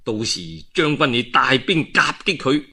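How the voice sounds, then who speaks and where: A man voicing a shrewd, calm military strategist in an oral historical tale, measured and persuasive, with a Guangdong Cantonese accent. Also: medium pitch, husky, exaggerated voice. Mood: calm scheming. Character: shrewd, calm male military strategist advising his lord.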